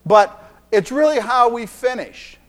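A middle-aged man preaching a sermon in a church, earnest, often repeating phrases and swinging between soft and emphatic lines. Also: very high-pitched, lively intonation, unhurried.

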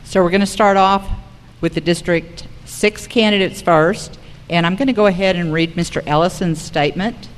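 A woman's voice: on the low side, normal speed. A woman moderating a public forum, reading prepared material aloud to the room. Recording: reverberant hall recording.

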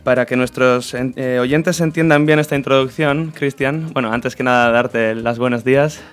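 A man's voice: mid-range voice, steady tone, normal speed, soft background music. A man with an accent from Spain, hosting an informal community radio interview. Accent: Spanish, from Spain.

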